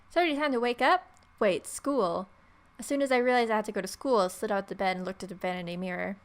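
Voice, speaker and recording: A woman's voice: medium pitch, quick pace. A woman reading story text aloud as a let's player, with irreverent, sarcastic asides.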